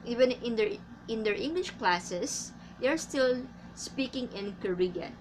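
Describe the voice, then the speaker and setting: A woman from the Philippines with a Filipino accent, an English teacher giving tips in a casual vlog, speaking carefully with many pauses and fillers. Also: a little high, lively intonation, noisy background. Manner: slow and careful, frequent uh fillers.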